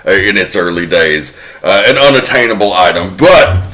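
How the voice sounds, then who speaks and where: A man's voice: deep voice, expressive, moderate pace, pushed and bright, rough recording. A man hosting a podcast, chatting casually and telling a story with the odd swear word thrown in. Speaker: podcast host.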